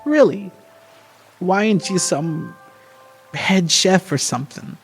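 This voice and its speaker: A man playing a wistful, frightened, terminally ill young person in an amateur radio drama, speaking in halting, repeated phrases. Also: high-pitched, lively intonation, gravelly, full character voice. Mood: wistful, hesitant. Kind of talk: amateur radio theatre acting. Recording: music behind the voice.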